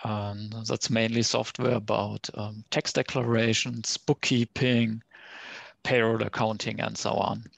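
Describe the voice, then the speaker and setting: A man giving an explanatory tech presentation on an online call, in a careful, halting way. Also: lowish voice, flat delivery, vocal fry, no room sound. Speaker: guest presenter, software developer. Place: online conference call with screen share.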